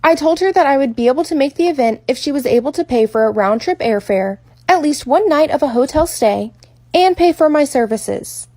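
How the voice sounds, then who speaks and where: A woman with a high voice narrates a scripted personal story. She speaks in orderly, articulate full sentences. The sound is dry and close, with no room echo. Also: lively intonation, fairly quick, a little mellow. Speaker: makeup artist storyteller.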